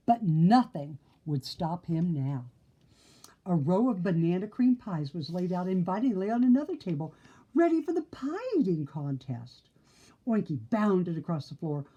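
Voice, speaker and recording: Slow, husky, animated woman storyteller. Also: on the low side, highs cut off. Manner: theatrical, playful emphasis on sound words. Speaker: storybook reader. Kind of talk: expressive children's story read-aloud.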